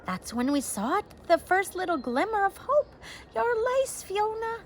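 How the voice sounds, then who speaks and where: A woman's voice: very high-pitched, very animated, unhurried, a little hiss or hum, voice pushed up, exaggerated voice. A woman voicing a loving, tearful father in a storybook, exclaiming with emotion. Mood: moved, exclaiming.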